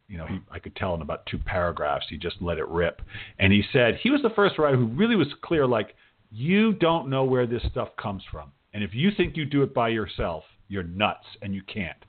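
A middle-aged man hosting a radio interview in a casual, informal way, rambling a little as he thinks out loud. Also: lowish voice. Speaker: radio show host and interviewer. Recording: band-limited, dull radio-show audio.

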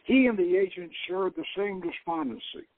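A man's voice: high voice, moderate pace, no room sound. A man giving a dramatic reading of an adventure novel as a narrator, over a thin phone-like radio line. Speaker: host reading his adventure novel aloud. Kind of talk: dramatic audiobook-style reading.

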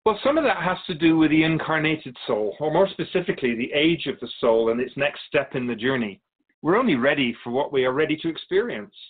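Man acting as serene keeper of souls, spirit, animated intonation, measured and quizzical, radio drama, slightly dull audio. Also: on the high side. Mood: patient teaching. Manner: measured, explanatory, quizzical about human speech. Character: Keeper of Souls, calm otherworldly male spirit guide. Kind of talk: radio drama dialogue as interview guest.

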